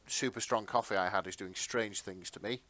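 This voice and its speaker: A man's voice: on the low side, steady tone, moderate pace, noisy background. A man chatting casually as a podcast co-host in a rambling, self-deprecating way. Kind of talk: casual chat.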